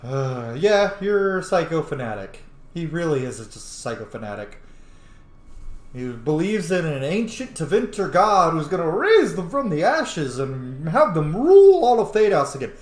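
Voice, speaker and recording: A man's voice: a little high, sing-song, moderate pace. A man giving casual, sweary, mocking commentary as he roleplays through a video game. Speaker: gaming video host. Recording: echoey room.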